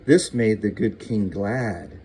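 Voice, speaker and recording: An older man reading a rhyming picture book aloud to a young child, in an expressive, deliberate, sing-song way. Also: fairly low pitch, a little hiss or hum. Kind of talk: expressive storybook narration.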